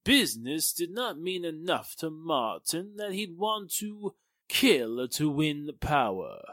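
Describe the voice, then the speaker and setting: Pompous, protective gentleman, played by a man, 1940s radio acting, bright forceful tone, unhurried and blustering. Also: fairly high pitch, sing-song, no room sound, studio quality, exaggerated voice. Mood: earnest.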